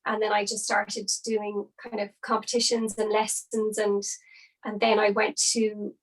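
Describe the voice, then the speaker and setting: A woman's voice: mid-range voice, monotone, measured pace, poor audio. A young woman, an opera singer, chatting as a podcast guest and explaining things with lots of hedging fillers. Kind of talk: casual interview chat.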